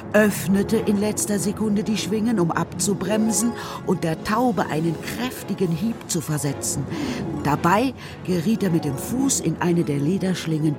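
A woman with a low voice narrates a children's radio drama. She reads the scripted story in a measured storytelling way, with faint music behind her.

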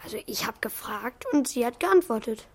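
An actor in a children's radio play voicing an excitable, shy young schoolboy with a candid, hesitant manner. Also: medium pitch, moderate pace, close to an everyday voice. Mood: eager.

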